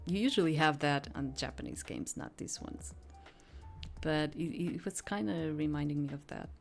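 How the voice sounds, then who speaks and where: A woman's voice: deep voice, moderate pace, gravelly, a little mellow. A woman game streamer reading a visual novel aloud and commenting on it in a casual, chatty way. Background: game music.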